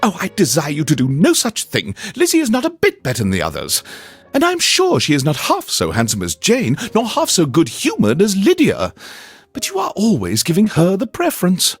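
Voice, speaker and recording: Fluttery, high-pitched fussy wife. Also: male voice, wildly swooping pitch, a little fast, breathy edge, faint music behind, exaggerated voice. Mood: pleading, nagging. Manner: theatrical, fluttery, exclamatory. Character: fussy, excitable middle-aged wife, gushing and nagging. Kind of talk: storybook reading in a game radio show.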